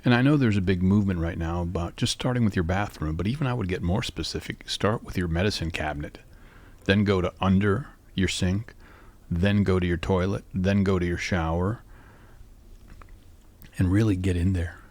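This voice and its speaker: A man in his fifties from North Carolina, hosting a rambling solo monologue in a sleepy, calm and even voice. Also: normal speed. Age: 50s. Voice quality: low, sleepy voice. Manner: calm, musing, even delivery. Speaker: podcast host.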